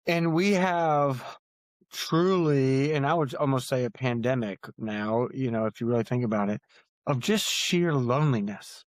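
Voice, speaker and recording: A man's voice: mid-range voice, a little slow, dry recording. Earnest man in a dry recording. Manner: earnest, emphatic, repeats phrases for weight. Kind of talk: earnest podcast interview talk.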